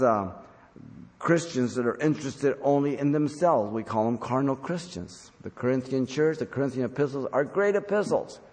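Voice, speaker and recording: An older man delivers a sermon to his congregation in an earnest, exhorting manner. He speaks at a medium pitch and repeats phrases to drive them home. His voice rings with the long reverb of a large hall. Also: a little mellow. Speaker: pastor preaching to his congregation. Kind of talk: sermon with scripture reading.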